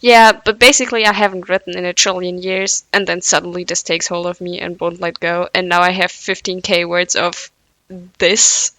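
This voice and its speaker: A woman's voice: on the low side, little pitch movement, moderate pace, a bit bright, dry recording, low-quality recording. A woman reading fanfiction aloud at home, with casual, self-deprecating asides; English is not her first language. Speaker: podfic reader.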